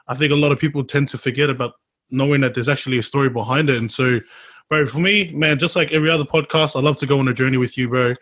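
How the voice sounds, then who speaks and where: A man's voice: medium pitch, steady tone, quick pace, fairly bright tone. Aussie podcast host guy, rambly, says bro a lot, mid voice. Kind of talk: casual interview talk.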